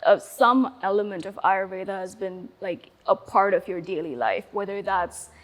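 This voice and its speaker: A woman's voice: medium pitch, moderate pace, long reverb tail. A woman in her twenties from Sri Lanka, chatting casually as an interview guest, with many fillers.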